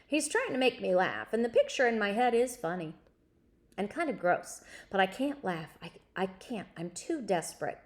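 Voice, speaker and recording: A woman reading a children's novel aloud in an expressive storytelling style. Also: mid-range voice, normal speed.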